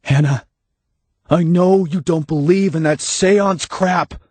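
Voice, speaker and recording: A man voicing a terrified man trapped in a pit, yelling and pleading desperately in a strained, husky voice. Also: a little high, takes it slowly, no echo, voice pushed up.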